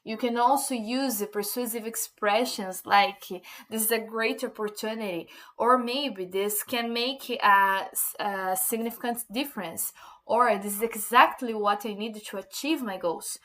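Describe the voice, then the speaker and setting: Woman, English teacher, hesitant with fillers, fairly high voice. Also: measured pace, pushed and bright. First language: Portuguese. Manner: hesitant, many fillers and restarts. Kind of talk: informal English lesson.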